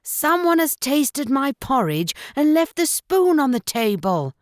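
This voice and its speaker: A woman voicing a big, gruff father bear who growls loudly and indignantly in a children's storybook. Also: high-pitched, very animated, unhurried, no room sound, clean studio sound, pitched above the performer's own voice. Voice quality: growling, heavy. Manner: loud, indignant.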